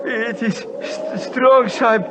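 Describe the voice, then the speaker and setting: An actor playing an injured, exhausted mountain guide in a radio drama, deferential and fatalistic, speaking in halting, formal, pleading phrases. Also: male voice, extremely high pitch. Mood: pained, gasping. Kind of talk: 1950s radio drama acting. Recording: muffled, noisy 1950s radio recording.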